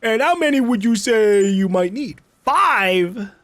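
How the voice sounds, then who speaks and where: A man's voice: sits high, lively intonation, a little slow, fairly bright tone, no room sound. A man giving casual, playful let's play commentary as host of a gaming video. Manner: playful, joking.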